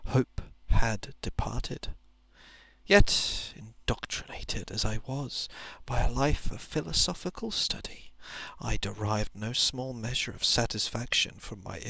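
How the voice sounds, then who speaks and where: Male ASMR narrator: very gravelly, slow and deliberate, soft-spoken with whispered dips, literary reading on a close mic. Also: mid-range voice, no echo. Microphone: close microphone.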